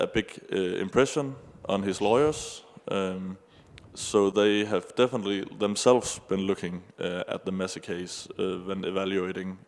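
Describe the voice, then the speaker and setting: A man answering off the cuff as an expert panelist at a conference, halting and measured as he weighs his words. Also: sits low. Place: large indoor conference hall. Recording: echoey hall, long reverb.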